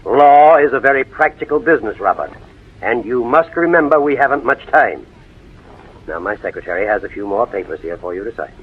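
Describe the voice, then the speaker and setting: A man's voice: mid-range voice, expressive, a little fast, slightly rough. A man playing a sober, practical family lawyer in a 1940s radio drama, formal and politely insistent. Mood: businesslike, firm. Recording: muffled old radio recording.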